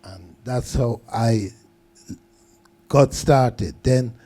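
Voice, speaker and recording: An older man, a guest on a radio show, telling unhurried stories about how he started out. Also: mid-range voice. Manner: relaxed, unhurried storytelling. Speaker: guest producer. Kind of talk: casual radio interview. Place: radio studio.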